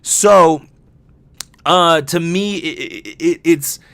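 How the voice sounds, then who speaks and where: A man's voice: a little high, extremely slow, vocal fry, a bit bright, no room sound. Man in a dry, close podcast monologue. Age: young adult. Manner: candid, rambling, swearing freely. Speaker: podcast host, voice actor. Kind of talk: casual solo podcast monologue.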